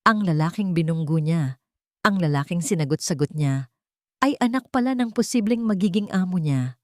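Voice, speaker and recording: Woman narrating drama, low-pitched and expressive. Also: normal speed, no echo, clean studio sound. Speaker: storyteller. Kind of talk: scripted dramatic love story narration.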